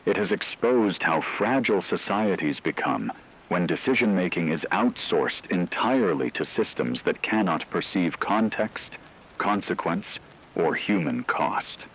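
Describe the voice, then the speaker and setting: A man voicing a grave radio news anchor, speaking in a solemn, measured, formal way as in a scripted emergency broadcast. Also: sits low, lively intonation, fairly bright tone, slight background noise, heavily acted, poor audio. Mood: grave, urgent.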